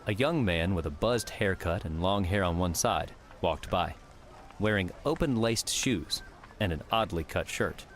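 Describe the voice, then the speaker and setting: A man's voice: low-pitched, animated, a little slow, slight background noise. A man reading scripted story narration as an audiobook narrator.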